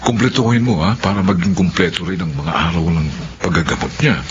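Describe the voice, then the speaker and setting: An actor playing a generous male doctor giving gentle instructions in a Filipino radio drama. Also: sits low, expressive, brisk. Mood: kindly instructing. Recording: dull audio, faint noise.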